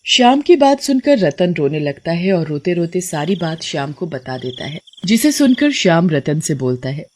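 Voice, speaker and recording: A woman narrating a scripted story in an expressive storybook style. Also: on the low side, moderate pace, slight background noise.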